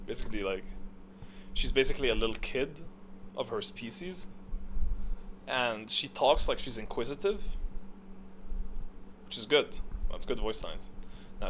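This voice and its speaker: A man with a mid-pitched voice talks through his rankings as a gaming video creator, casual and opinionated. He rambles and thinks aloud, using plenty of gamer slang. There is audible noise behind him. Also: fairly bright tone. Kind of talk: casual opinionated tier-list commentary.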